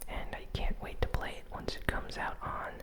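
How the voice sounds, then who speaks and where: A host giving ASMR gaming news commentary in a breathy, unvoiced whisper, soft-spoken and unhurried.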